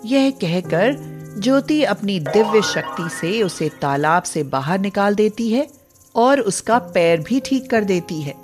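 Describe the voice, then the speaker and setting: Female storyteller, medium pitch, unhurried scripted narration, faint music underneath. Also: expressive.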